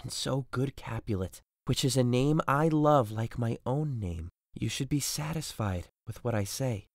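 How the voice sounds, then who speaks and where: A man playing an earnest, peace-seeking young gentleman in an audio drama, with a pleading, sincere delivery. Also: mid-range voice, measured pace, dry recording, studio quality. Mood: calm, conciliatory.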